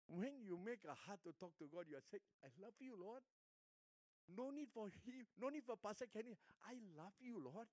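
An older man with a Singaporean accent, a pastor preaching a sermon and telling stories, moving between hushed lines and emphatic ones. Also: high-pitched, expressive, normal speed, no echo.

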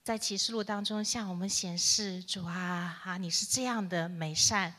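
A middle-aged woman interpreting a sermon live, halting and piecing it together in short phrases. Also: on the low side, fairly even intonation, bright, forceful tone.

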